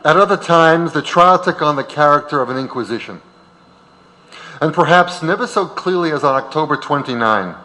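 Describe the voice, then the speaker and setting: A man's voice: fairly high pitch, lively intonation, normal speed, bright, forceful tone, a little hiss or hum. A man reading scripted narration on stage in a reverberant auditorium. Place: large auditorium.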